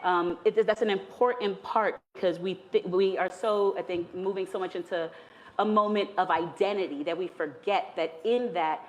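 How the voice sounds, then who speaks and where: A woman's voice: on the low side, steady tone, moderate pace, lo-fi sound. A woman speaking on an academic panel, building her points in careful spoken lists. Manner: thoughtful, builds points in lists, some fillers.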